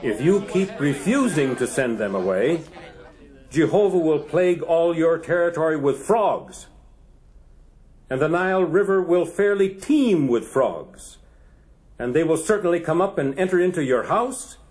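Man playing solemn prophet, declamatory, stern, somewhat high voice, biblical audio drama. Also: lively intonation, a little slow, a little mellow. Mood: solemn, warning.

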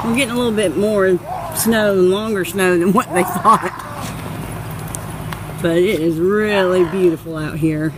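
A woman's voice: fairly low pitch, noisy background. A woman, chatting and giggling affectionately as she films a home video outdoors in a snowy yard on a handheld phone camera. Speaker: vlogger filming her husband. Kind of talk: casual home video narration.

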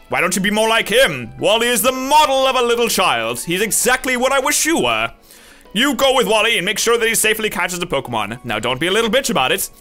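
A man voicing a gruff, scornful father who is a gym leader, drawling insults. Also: high-pitched, bright, forceful tone, heavily acted. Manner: contemptuous, profane, drawling.

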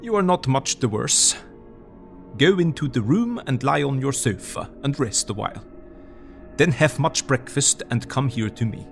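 An actor playing an older doctor, commanding, kindly and grave in formal, earnest phrasing. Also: male voice, medium pitch, animated, normal speed, vocal fry, faint music behind. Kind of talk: audio drama acting.

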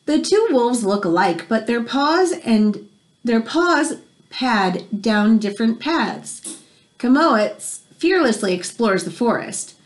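A woman in her thirties reads a picture book aloud to children in an expressive, teacherly way, pausing to explain things. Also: a little high. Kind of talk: read-aloud storytelling with explanatory asides for children. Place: small room indoors at home. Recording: home recording with background noise.

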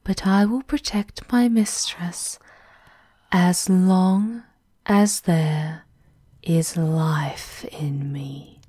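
A woman playing a devoted, menacing servant of a vampire countess, formal and softly sinister in an ASMR roleplay, often in a half-whisper. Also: on the low side, fairly even intonation, extremely slow, breathy edge, dry recording, clean studio sound, full character voice. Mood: cold, threatening.

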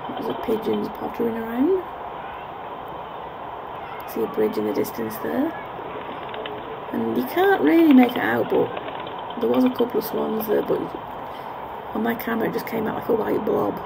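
Woman, very gravelly fry, highish pitch, casual vlog narration, musing, outdoor camera recording. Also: very animated, moderate pace, pauses often. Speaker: vlogger. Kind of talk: casual chatty narration of a park walk. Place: outdoors in a public park. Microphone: handheld camera's built-in mic. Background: clear park ambience noise.

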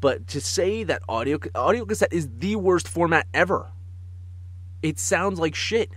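A man co-hosting a podcast in casual comic chat, telling stories in an animated way and chuckling as he talks. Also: a little high, measured pace, slightly rough, dry recording. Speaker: podcast co-host.

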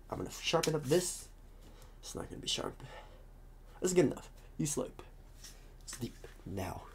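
A man playing a talkative, restless psychopath convict in an ASMR roleplay, protective and scheming, with halting, muttered asides. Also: a little high, a little mellow, a little hiss or hum. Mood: scheming, distracted.

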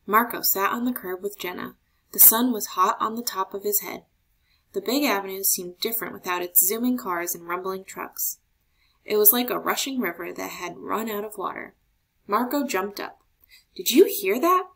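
Creaky-voiced woman reading a children's story. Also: mid-range voice, normal speed, a bit bright. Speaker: storybook reader. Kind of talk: expressive children's read-aloud narration.

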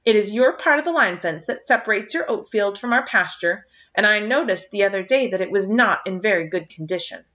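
A woman's voice: medium pitch, animated, a little fast, few pauses, fairly bright tone, natural voice. A woman doing a dignified, earnest young girl. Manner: dignified, firm. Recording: slightly dull lo-fi recording.

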